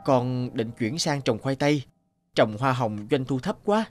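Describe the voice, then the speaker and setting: A man's voice: medium pitch, animated, unhurried, dry recording, natural voice. A man playing an earnest, determined young farmer son in a cartoon, explaining things in earnest. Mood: discouraged, explaining. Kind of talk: animated cartoon dialogue. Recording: background music under speech.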